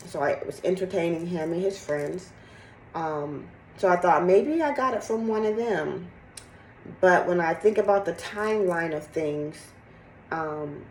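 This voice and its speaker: Middle aged lady vlogging, bit raspy and congested, candid chatty, roomy echo w some faint noise. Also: low-pitched, darkish tone. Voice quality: slightly raspy. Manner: candid, rambling, conversational. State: sick with congested cough. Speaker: fitness vlogger. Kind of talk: casual chat to camera, personal update.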